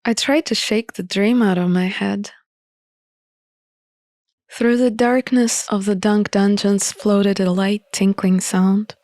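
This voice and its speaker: A woman narrating a visual novel in an expressive, measured storybook style. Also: medium pitch, no echo, studio quality. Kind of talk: expressive storybook-like reading of game text. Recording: music behind the voice. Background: music.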